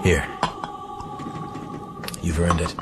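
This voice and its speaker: An actor playing an earnest, steadfast gentleman sailor, tender and protective, speaking in gently formal phrases in a radio drama. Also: sits low, little pitch movement, drawn-out words, over loud music. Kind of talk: broadcast radio drama acting.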